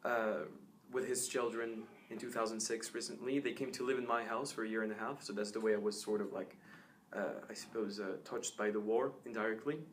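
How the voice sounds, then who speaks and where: A young man and student explaining his background in a thoughtful, halting way with many pauses. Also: on the low side, steady tone, slight background noise. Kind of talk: casual testimonial.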